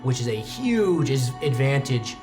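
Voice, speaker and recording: A man narrating a tabletop roleplaying game as game master, setting scenes in a measured way and dropping soft for quiet details. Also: medium pitch, very animated, music in the background.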